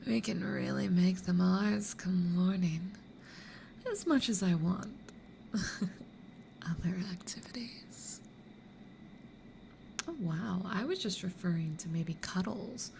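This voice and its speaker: A woman playing a playful, mischievous demon in a hushed, teasing, creaky ASMR roleplay whisper. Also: lowish voice, animated, slow pace, pauses often, full character voice. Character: playful, mischievous demon who toys with humans. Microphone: close studio condenser or binaural mic. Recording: clear background noise.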